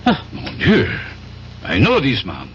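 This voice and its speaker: Police inspector, courteous yet firm, expressive, radio drama with faint hiss. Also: male voice, medium pitch, takes it slowly, full character voice. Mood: surprised. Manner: formal, firm. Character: polite but insistent French police inspector. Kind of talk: 1970s radio drama acting. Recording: muffled, noisy old radio recording.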